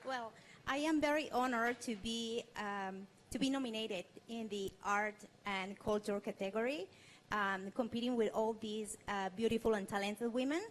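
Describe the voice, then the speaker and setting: A woman's voice: on the high side, normal speed, slight background noise, rough recording. A woman from Peru, a native Spanish speaker, giving a hesitant impromptu acceptance speech. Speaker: award winner.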